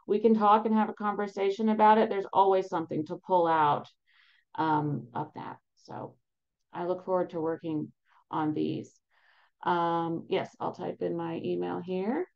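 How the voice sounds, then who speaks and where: A woman's voice: fairly low pitch, little pitch movement, unhurried, creaky, darkish tone. Thirties woman, webinar presenter, encouraging and chatty, slightly muffled call audio. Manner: upbeat, encouraging, with many fillers and restarts. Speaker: advocacy program staff presenting a webinar. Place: home room behind a virtual background. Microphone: laptop webcam mic on a video call.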